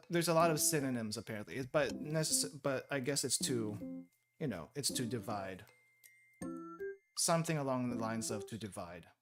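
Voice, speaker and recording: A young man from the Philippines, chatting casually and thinking aloud while he studies on a stream, laughing often. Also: mid-range voice. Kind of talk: casual study stream chat. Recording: music playing behind.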